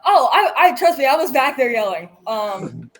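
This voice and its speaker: A woman, a martial arts competitor, answering interview questions eagerly, with a loud voice and many fillers. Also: high-pitched, big pitch swings, normal speed. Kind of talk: post-match interview.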